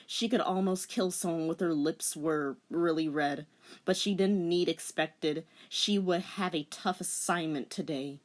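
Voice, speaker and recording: A woman's voice: lowish voice, measured pace. A woman narrating a comic dramatic reading with teasing, theatrical commentary.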